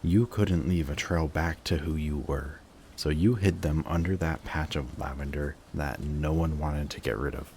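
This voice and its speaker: Guy acting as a sardonic villain, really low voice, intense dramatic pauses, audio roleplay, noticeable background noise. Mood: wistful, pained. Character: bitter adult male villain, guarded, secretly devoted to rival. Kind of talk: emotional audio roleplay monologue to the listener. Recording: clearly audible background noise.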